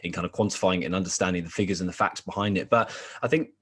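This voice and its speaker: Man, podcast host, low voice, friendly, rambling, restarts. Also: fast, a bit bright, breathy edge. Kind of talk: podcast interview.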